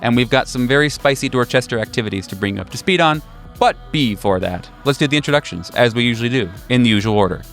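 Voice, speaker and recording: A man's voice: medium pitch, lively intonation, fast talker, fairly bright tone. A man hosting a podcast in a casual, joking style. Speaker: podcast host opening the show. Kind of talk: casual joking show introduction. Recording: music behind the voice. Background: music.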